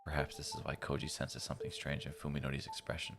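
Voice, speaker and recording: Tired chill guy streaming a visual novel, super low voice, slangy asides, music behind him. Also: completely flat delivery, fast talker. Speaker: game streamer. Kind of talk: live stream reading and casual commentary on a visual novel.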